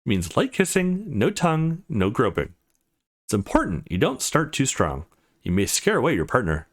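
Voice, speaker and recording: A man's voice: medium pitch, huge pitch swings, moderate pace. A man voicing an easygoing young man in a visual novel who plays a bossy teacher, mock-stern but laid-back. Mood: instructive.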